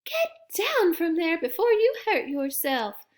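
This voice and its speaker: A woman's voice: extremely high pitch, huge pitch swings, a little slow, voice pushed up, full character voice. A woman voicing a fussy, doting grandmother bear from a children's picture book. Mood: scolding. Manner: cooing and scolding.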